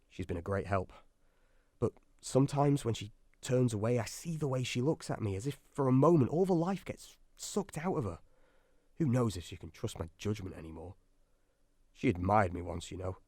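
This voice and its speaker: An actor playing an anxious, self-doubting, sardonic submarine doctor in a radio drama. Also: male voice, fairly low pitch, a little mellow, no room sound. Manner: nervy, sardonic, brooding. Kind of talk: radio drama acting with diary-style monologue.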